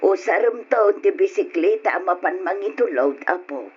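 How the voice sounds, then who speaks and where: An actress playing a caring, solemn old grandmother with an Ilocano accent, gently admonishing in old radio drama style. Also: mid-range voice, expressive, normal speed, breathy edge. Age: older. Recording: noisy lo-fi radio drama recording.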